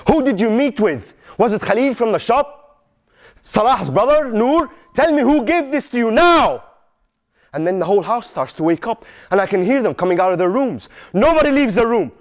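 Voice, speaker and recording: A man performing a dramatic monologue as an anguished middle-aged Palestinian father, in Arabic-accented English, with emphatic repetition and pointed questions. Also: high voice. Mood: urgent, interrogating, then shaken. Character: anguished Palestinian father pleading with his vengeful son.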